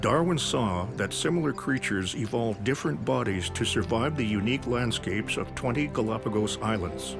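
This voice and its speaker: A man giving scripted, measured documentary narration as a studio voice-over. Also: mid-range voice, lively intonation, vocal fry. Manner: measured, explanatory. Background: music.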